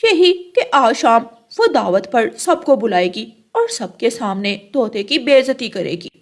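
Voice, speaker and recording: A woman's voice: fairly high pitch, very animated, measured pace, exaggerated voice. A woman voicing a spiteful, lying mother crow in a cartoon, bossy and full of sly insinuation. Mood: scheming, insinuating.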